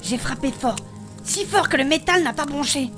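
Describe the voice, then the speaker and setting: A woman playing a spirited female blacksmith adventurer, recounting her past in animated, colloquial in a fantasy audio drama. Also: sits high, normal speed, music in the background, natural voice. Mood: lively storytelling. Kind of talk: French fantasy audio fiction acting.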